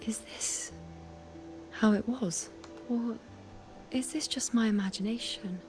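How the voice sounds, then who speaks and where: Calm traveler over loud music. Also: female voice, on the high side, slow. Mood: hushed, pensive. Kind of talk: subdued video game acting.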